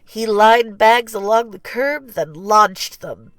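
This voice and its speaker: A woman narrating a children's storybook in an expressive, deliberate read-aloud style. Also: medium pitch, no echo, faint music behind. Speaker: storybook reader.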